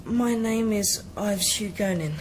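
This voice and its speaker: A woman's voice: medium pitch, measured pace, background noise, lightly acted. A woman in a radio drama voicing a guarded, defiant young noble boy. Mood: subdued.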